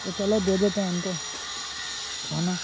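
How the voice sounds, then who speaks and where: A man's voice: high voice, flat delivery, moderate pace. A young man chatting informally to viewers in a casual vlog as he rides. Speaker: motovlogger. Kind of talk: casual vlog narration while riding. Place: outdoors, riding a motorbike through streets. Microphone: phone mounted on rider. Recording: very noisy outdoor motorbike engine noise.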